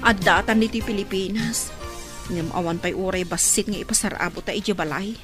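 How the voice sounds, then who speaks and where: A woman plays a practical, probing village woman in a radio drama. Her voice is medium-pitched with an Ilocano accent, and faint music plays underneath. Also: fairly quick, natural voice. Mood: concerned. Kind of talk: Filipino radio drama acting.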